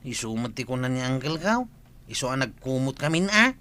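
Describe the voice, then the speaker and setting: A man's voice: mid-range voice, sing-song, fairly quick, sharp tone. A man acting a contrary, matter-of-fact village nephew in a comedic audio drama. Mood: insistent.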